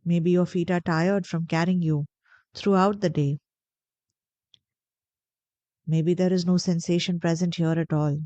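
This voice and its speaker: A woman guiding a meditation in a gentle, deliberate, soothing way, pausing between phrases. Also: on the low side, steady tone, dry recording, dull audio. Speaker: meditation guide. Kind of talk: guided meditation narration.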